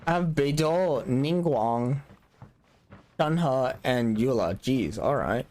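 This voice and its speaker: A man's voice: mid-range voice, lively intonation, takes it slowly, a little mellow. A young adult man streaming a game in casual chat, joking about himself and laughing often. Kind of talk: casual live-stream chat while gaming.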